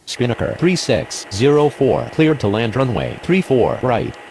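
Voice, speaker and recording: Man doing a businesslike pilot radio call, pitch moves a lot, clear noise behind. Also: sing-song, a little slow, a little mellow. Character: businesslike male pilot making airport radio calls. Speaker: air traffic radio voice. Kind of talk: scripted aviation radio phraseology. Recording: clear vehicle-like ambient noise.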